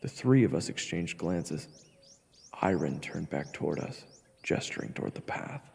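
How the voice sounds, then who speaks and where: A man's voice: low-pitched, expressive, normal speed, creaky, darkish tone, breathy edge, in a large hall. A man narrating a scripted fantasy story in the first person. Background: faint music.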